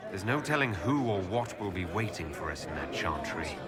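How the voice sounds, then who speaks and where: With music behind him, an elven leader from a fantasy game. His low voice is composed and questioning, with plenty of movement in its pitch. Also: sing-song, moderate pace. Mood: grave. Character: composed, inquisitive male elven leader of an order.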